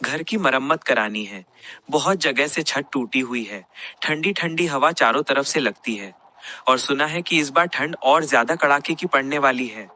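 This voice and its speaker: Man playing earnest poor blacksmith, bright voice. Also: mid-range voice, moderate pace, a little hiss or hum, close to an everyday voice. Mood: pleading. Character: poor humble village blacksmith, earnest, pleading. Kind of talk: Hindi moral cartoon story dialogue.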